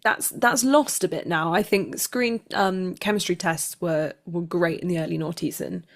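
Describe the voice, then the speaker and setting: Woman, slightly low voice, conversational interviewee, expressive and chatty. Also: normal speed, gravelly. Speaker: actor being interviewed.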